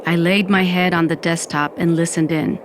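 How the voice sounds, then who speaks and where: A woman's voice: lowish voice, fairly even intonation, moderate pace, noisy background, lightly acted. A woman playing a sardonic undead woman, narrating an audio fiction in the first person. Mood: nostalgic. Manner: wry, dramatic, peppered with Spanish exclamations. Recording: music bed under the voice.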